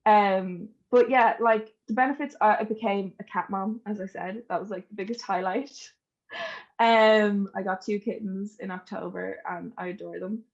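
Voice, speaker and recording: A young Irish woman talks as a podcast guest in an informal, chatty way, telling personal stories. Her voice sits at an average pitch for a woman. The recording is a little dull and lo-fi. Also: fairly even intonation, normal speed. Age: young adult. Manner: chatty, informal, laughs easily.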